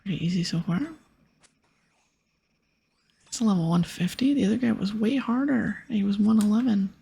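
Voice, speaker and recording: A woman giving casual, hushed ASMR commentary over a video game in a breathy whisper. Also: mid-range voice, normal speed. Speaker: host of a gaming ASMR series.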